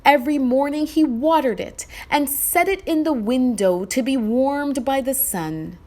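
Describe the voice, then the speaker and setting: Slow, high-voiced woman storyteller. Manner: expressive, deliberate. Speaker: storybook narrator. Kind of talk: scripted children's story read-aloud.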